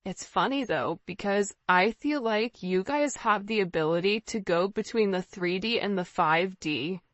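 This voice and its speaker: A woman speaks as a tarot reader in an informal, conversational style, addressing the listener directly and checking in as she goes. Her voice sits at a medium pitch. The recording is dry, with little room sound, and slightly dull. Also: measured pace, a bit bright, no room sound. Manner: conversational, often checking in with "right?" and "you know".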